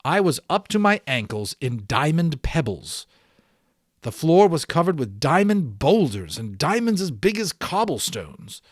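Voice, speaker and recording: A man reading a story aloud as an audiobook, expressive and given to dramatic emphasis. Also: on the high side, measured pace, dry recording, studio quality. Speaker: audiobook reader. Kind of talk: animated read-aloud storytelling.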